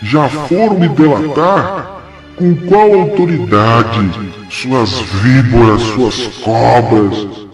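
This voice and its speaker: A man playing a tormented, arrogant commander of dark spirits in an audio drama, ranting theatrically and repeating his phrases. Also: medium pitch, drawn-out words. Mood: enraged. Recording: roomy echo under loud music.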